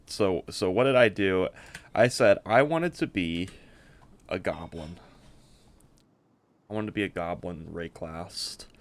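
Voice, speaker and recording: A man presenting a game build in a casual, unscripted way, rambling and halting as he often repeats words. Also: low voice, creaky.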